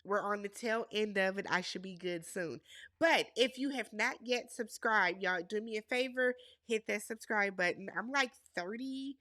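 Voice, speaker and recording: A woman's voice: mid-range voice, moderate pace, bright, forceful tone, no room sound, clean studio sound. A woman with a Wisconsin accent recapping a reality show, nasal and congested from a cold, opinionated and chatty with her viewers. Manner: opinionated, addresses viewers as y'all. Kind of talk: casual recap chat.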